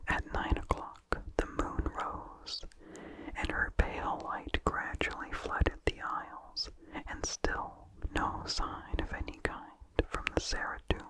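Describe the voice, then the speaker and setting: A person reading a vintage horror story aloud for ASMR in a hushed, breathy whisper with slight mouth sounds, slow and deliberate. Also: low-quality recording.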